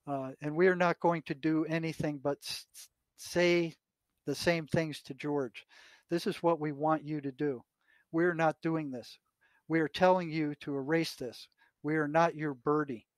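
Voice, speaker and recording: An older American man in conversation, telling anecdotes and reading aloud from notes in a halting way, often repeating his words. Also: fairly high pitch, steady tone, dry recording. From United States. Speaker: retired psychotherapist, podcast guest.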